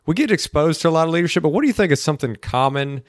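A young man from the Boston area co-hosting a podcast, chatting in a relaxed, rambling way. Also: a little high, rapid-fire, no room sound, clean studio sound. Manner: relaxed, rambling, lots of fillers like "like". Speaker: podcast co-host. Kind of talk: casual chat.

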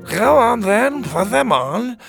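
A man voicing an eccentric, ancient male wizard in a storybook reading, fussy and bossy, with a creaky, drawn-out delivery. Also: on the high side, lively intonation, a bit bright, soft background music, pitched above the performer's own voice, full character voice. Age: older. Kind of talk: storybook character voice.

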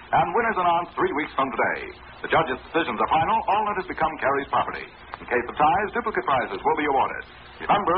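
A man working as a radio announcer, giving an emphatic, polished sales pitch in 1940s style. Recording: noisy, narrowband vintage radio recording.

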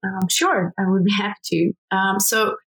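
A woman's voice: mid-range voice, fairly even intonation, moderate pace, fairly bright tone, rough recording. A middle-aged woman with a Hungarian accent, an academic guest telling her story conversationally. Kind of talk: conversational personal account.

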